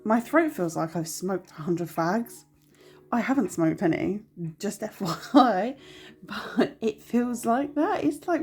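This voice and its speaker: A woman's voice: mid-range voice, a little mellow, slightly rough. A young woman with a stuffy, nasal cold, chatting casually to camera at home with lots of ums. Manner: chatty, rambling, many ums, apologetic. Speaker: budgeting vlogger.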